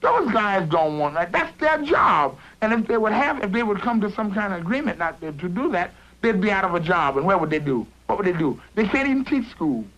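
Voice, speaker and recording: Excitable, high-voiced rambling worrier. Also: male voice, brisk, few pauses, low-quality recording. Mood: exasperated. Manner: rambling, insistent, stammering and repeating himself. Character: excitable, worrying male construction worker arguing with workmate. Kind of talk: improvised conversational dialogue in an animated short.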